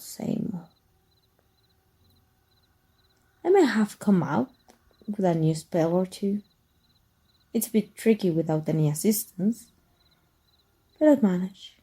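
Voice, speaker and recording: A woman playing an exiled evil magic teacher in an ASMR roleplay, wry and fond, speaking softly and intimately with a husky, breathy voice. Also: lowish voice. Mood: warm, casual. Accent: Mexican. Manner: wry, unhurried, intimate, addressing a former student.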